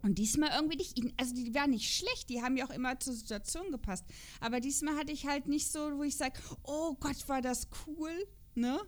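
A woman's voice: sits high, fairly quick, hardly any pauses. A woman co-hosting a podcast in a casual, unscripted chat, rambling along and often checking for agreement. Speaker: podcast co-host reviewing children's audio dramas.

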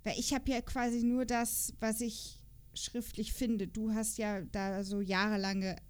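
A woman's voice: on the high side, little pitch movement, measured pace, clean tone. A woman hosting a podcast in casual chat about audio dramas, talking in a chatty, self-deprecating way. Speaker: podcast host.

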